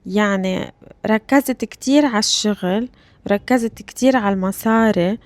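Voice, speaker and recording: A Lebanese woman in her thirties, with a Lebanese Arabic accent, talks slowly and carefully for language learners. She repeats her phrases so they sink in and pauses to check that listeners follow. Her pitch is medium and the recording is dry and close, with no room sound. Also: darkish tone, no echo. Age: 30s. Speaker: Arabic teacher and podcast host. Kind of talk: slow, clear podcast lesson for learners.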